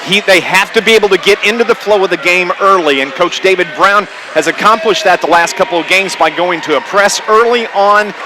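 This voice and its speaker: A man's voice: high-pitched, brisk, hardly any pauses. A man doing live radio play-by-play of a basketball game, in clipped running phrases. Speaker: radio play-by-play sports announcer. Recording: noisy live gym broadcast. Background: gymnasium ambience, bouncing basketballs.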